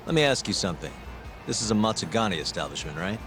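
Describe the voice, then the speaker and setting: A nonchalant American detective in a video game. His voice is on the low side, dry and casual, with clear music underneath. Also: sing-song, fast, fairly bright tone. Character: cool, nonchalant male private detective.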